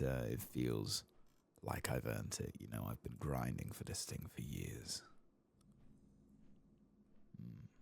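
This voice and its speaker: Man, extremely low and husky, slow, soft-spoken gaming ASMR, slipping into whispers, hesitant musing. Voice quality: breathy, gravelly, drifting into whisper.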